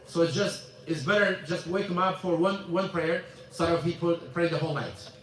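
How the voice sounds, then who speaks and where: An imam with high voice, echoey hall. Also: male voice, steady tone, normal speed, fairly bright tone. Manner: non-native English with broken grammar, repeats himself. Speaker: imam teaching a class. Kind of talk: informal religious lesson. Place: mosque hall. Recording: echoey mosque hall, mic from far off.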